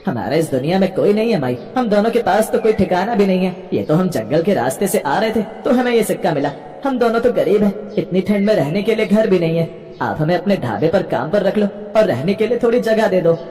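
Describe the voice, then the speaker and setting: A woman's voice: medium pitch, animated, a little fast, dark tone, lightly acted. A woman voicing a poor, grateful dwarf man, respectful and eager, in a cartoon tale. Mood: humble, pleading. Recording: reverberant hall-like sound.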